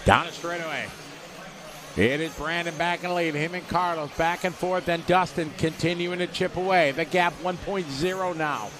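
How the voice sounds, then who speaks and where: A man calling a radio-controlled car race live, giving quick play-by-play and reading out viewer messages. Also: a little high, fairly even intonation, crowd noise. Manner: rapid play-by-play, reads viewer chat aloud. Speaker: race commentator.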